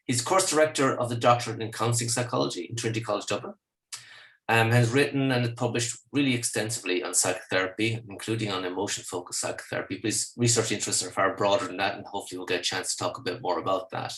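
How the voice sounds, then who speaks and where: A man's voice: fairly low pitch, quick pace, fairly bright tone, low-quality recording. A man hosting an interview podcast, encouraging and courteous, asking long questions with some hesitant fillers.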